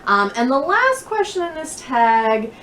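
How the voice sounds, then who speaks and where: A woman's voice: high voice, big pitch swings, a little slow, fairly bright tone. A chatty woman who laughs easily, talking casually to camera about books in a bedroom. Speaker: book vlogger. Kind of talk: casual chat to camera answering tag questions. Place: bedroom indoors. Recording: roomy echo, faint background noise.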